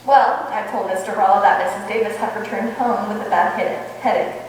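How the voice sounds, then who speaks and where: A woman's voice: medium pitch, steady tone, fairly quick, over loud music, close to an everyday voice. A woman playing a wry, wisecracking, lovelorn schoolteacher in an amateur radio comedy. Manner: dry, wisecracking. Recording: echoey hall from far off, faint hum.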